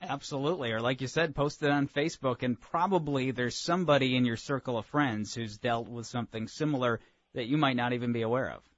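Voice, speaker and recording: Male radio interviewer, American accent, mid-range voice, agreeable, slightly halting delivery, somewhat dull audio. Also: mid-range voice, no echo.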